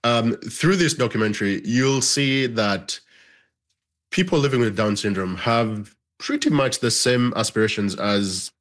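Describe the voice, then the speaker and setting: A man's voice: medium pitch, a little slow, a bit bright. A man from Uganda, a documentary filmmaker, giving thoughtful interview answers and often checking in with "right?".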